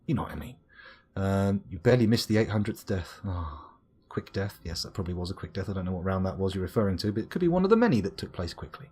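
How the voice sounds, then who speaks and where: A man with an English accent talks over his game to a live chat, wandering from tangent to tangent and poking fun at himself. His voice sits on the low side with a slightly husky edge, and it swoops up and down a lot as he rambles. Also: fast talker. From England. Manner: rambling, chatty, self-mocking, lively swings into exclaimed bits. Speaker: gaming streamer reading chat. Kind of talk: casual live-stream chat.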